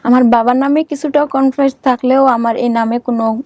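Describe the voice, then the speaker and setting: A Bangladeshi woman speaks as an interview guest in a high-pitched voice. Her replies are short, polite and somewhat hesitant, and the recording is dry and free of echo. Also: steady tone, moderate pace, a little mellow. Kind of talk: answering interview questions.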